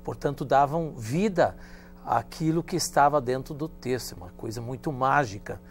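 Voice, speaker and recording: A man, a professor whose first language is German, telling childhood stories in a measured, storytelling way during a studio interview. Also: medium pitch, faint music behind. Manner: measured and storytelling, with lively emphasis. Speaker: guest professor.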